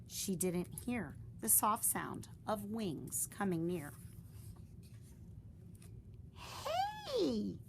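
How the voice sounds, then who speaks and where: A woman reading a children's picture book aloud with expressive, animated narration. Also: lowish voice, slow pace, vocal fry, few pauses, a little hiss or hum. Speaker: storyteller.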